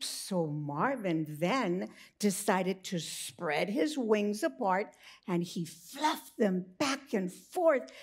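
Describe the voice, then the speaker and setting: A woman narrating a children's storybook in an expressive, deliberate way. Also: mid-range voice, breathy edge.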